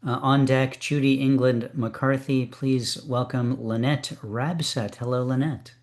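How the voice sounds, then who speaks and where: A man's voice: medium pitch, steady tone, a little slow. Encouraging man hosting an open reading. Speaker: host of a poetry open reading. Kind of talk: casual hosting chat.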